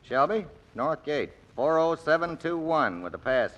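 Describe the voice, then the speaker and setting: An actor playing a gruff prison gate guard in 1940s radio style, curt and needling. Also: male voice, a little high, very animated, a little slow, fairly bright tone. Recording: dull old radio recording with music.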